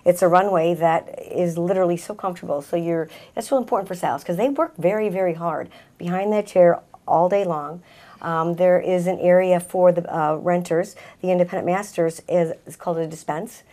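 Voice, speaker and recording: A woman's voice: lowish voice, fairly even intonation, a little fast, warm tone. A middle-aged woman, a salon owner, talking conversationally as a guest in a talk-show interview. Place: small TV talk-show set indoors.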